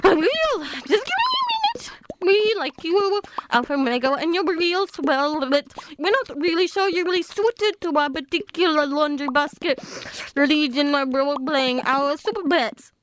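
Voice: sits high, very animated, normal speed, a bit bright, a little hiss or hum, rough recording. A performer voicing a prim, blunt pet goldfish in a comic radio sketch, awkwardly polite in delivery. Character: Floaty, squeaky dead pet goldfish, prim blunt leader.

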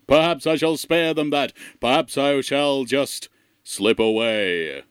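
A man's voice: mid-range voice, slow pace, smooth, no echo, studio quality, natural voice. A man voicing a doomed, wistful alien intelligence in solemn, portentous soliloquy for a comedic audio drama. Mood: solemn, resigned.

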